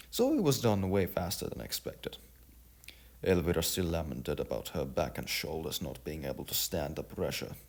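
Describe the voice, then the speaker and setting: A man narrating a scripted story dramatically, in a halting delivery with stumbles and repeated phrases. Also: a bass voice, creaky. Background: music.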